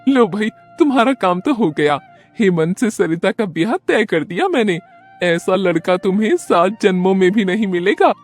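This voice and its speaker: A woman's voice: medium pitch, expressive, normal speed, a little mellow, faint music behind, close to an everyday voice. Woman voicing a kindly, persuasive old grandmother. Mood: eager, reassuring. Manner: affectionate, insistent. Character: kindly elderly grandmother, persuasive busybody matchmaker. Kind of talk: storybook cartoon dialogue.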